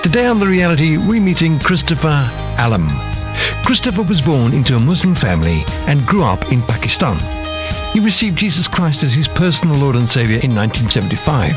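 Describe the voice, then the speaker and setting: Animated male radio host, music clearly under him, reads intros then asks stuff. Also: fairly high pitch, fairly quick. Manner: scripted introductions, short affirmations. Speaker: talk show host. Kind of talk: radio talk show hosting.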